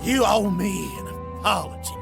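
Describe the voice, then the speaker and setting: An actor playing a belligerent, self-righteous older drunk in a visual novel, with slurred, indignant speech. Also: male voice, high-pitched, animated, moderate pace, gravelly, heavily acted. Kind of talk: visual novel character acting. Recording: music behind the voice.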